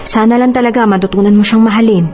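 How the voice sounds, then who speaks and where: Actress as protective elder sister, Filipino accent, raised pitch, emotive, probing, forgiving, radio drama, music behind. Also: female voice, fairly even intonation, quick pace, a little mellow, natural voice. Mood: concerned. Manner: earnest, admonishing, emotive. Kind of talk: Tagalog radio drama acting. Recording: music bed under voice.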